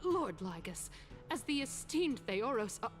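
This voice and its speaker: A woman's voice: fairly high pitch, very animated, unhurried, faint music behind. A woman playing a haughty, indignant council elder in a dramatic video game, affronted and accusatory, stumbling when flustered. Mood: defensive, flustered. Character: indignant, haughty female council elder defending her reputation.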